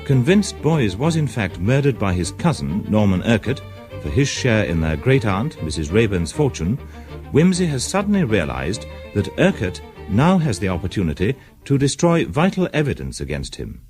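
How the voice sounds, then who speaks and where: An actor as a formal storyteller, delivering scripted narration for a radio mystery drama. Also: male voice, on the low side, big pitch swings, normal speed, a little mellow, faint music behind. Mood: dramatic, formal.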